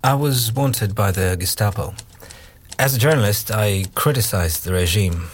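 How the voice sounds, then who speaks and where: A man's voice: lowish voice, lively intonation, normal speed, slight background noise, natural voice. An actor playing a male journalist turned circus troupe manager, recounting his past in an audio play monologue. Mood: reflective recounting. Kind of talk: dramatised first-person monologue in an audio play.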